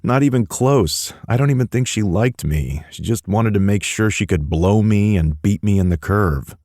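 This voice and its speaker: A man's voice: lowish voice, lively intonation, normal speed, a little mellow, dry recording, clean studio sound, pitched above the performer's own voice. A man voicing a joking, guarded young college man. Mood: bantering. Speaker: college student.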